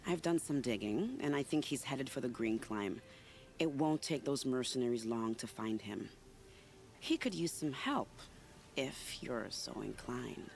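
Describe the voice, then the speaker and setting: A woman's voice: rumbling low, animated, unhurried, gravelly, a little mellow, exaggerated voice. An actress playing a sly, flirtatious female spy in a game, teasing and confiding in a playful tone. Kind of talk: video game cutscene acting.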